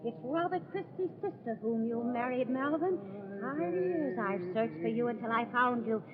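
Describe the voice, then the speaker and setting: An actress playing a scheming, vengeful young bride in an old-time radio horror play, with a theatrical, deliberate and insinuating delivery. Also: female voice, fairly high pitch, animated, close to an everyday voice. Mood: vengeful triumph. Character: scheming, vengeful young bride luring her guilty husband. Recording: tinny, noisy old radio broadcast recording.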